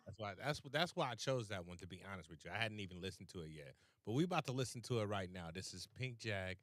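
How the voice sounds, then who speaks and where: A man hosting a casual music interview, relaxed and quick, laughing often. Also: on the low side, no room sound, studio quality. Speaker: podcast host.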